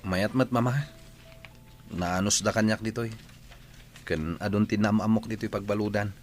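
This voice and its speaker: A man's voice: lowish voice, steady tone, moderate pace, faint music behind, natural voice. A man with a Filipino accent playing an emotional, repentant young prisoner in an audio drama. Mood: remorseful.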